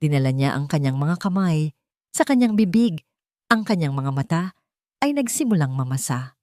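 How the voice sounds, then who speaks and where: Woman, story narrator, scripted dramatic romance reading, lower-leaning pitch, very little room sound. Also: sing-song, moderate pace, a little mellow, no echo, clean studio sound.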